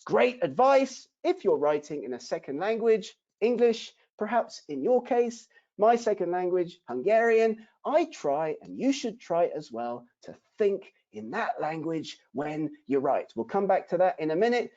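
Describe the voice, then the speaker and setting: A man's voice: high-pitched, very animated, measured pace, darkish tone. A man teaching academic writing in a webinar, speaking emphatically and asking the audience rhetorical questions. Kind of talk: webinar lesson. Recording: dull lo-fi webinar audio.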